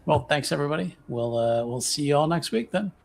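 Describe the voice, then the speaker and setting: A man hosts a casual stream sign-off with a creaky voice and lively intonation. He speaks slowly, with clear noise behind him. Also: fairly high pitch. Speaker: show host signing off.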